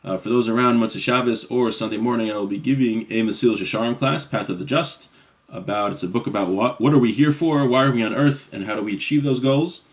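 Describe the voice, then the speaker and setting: A man's voice: mid-range voice, fast talker. Man teaching a religious text class. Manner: reads Hebrew text aloud and explains it in English; conversational, frequent "right?" and "you know". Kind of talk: text study class, reading Hebrew then translating.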